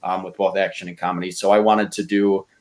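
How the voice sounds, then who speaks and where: A man's voice: low-pitched, little pitch movement, brisk. A man speaking in a casual debate style as a podcast contestant, rambling and opinionated as he argues his case. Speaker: podcast contestant pitching movie ideas.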